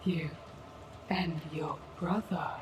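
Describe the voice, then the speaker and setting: A woman playing an eerie, knowing monstrous older sister in a dark fantasy audio drama, with a smooth, whispery voice and slow, deliberate delivery. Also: low-pitched, fairly even intonation. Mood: coaxing, solemn. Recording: noisy ambience behind the voice.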